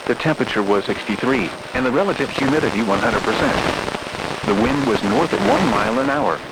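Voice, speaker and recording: A synthetic man's voice reads a scripted weather bulletin in a formal, impersonal, measured way, as an automated radio announcer. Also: medium pitch, very animated, vocal fry. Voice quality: synthetic text-to-speech voice. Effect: radio broadcast. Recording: noisy weather radio reception with static.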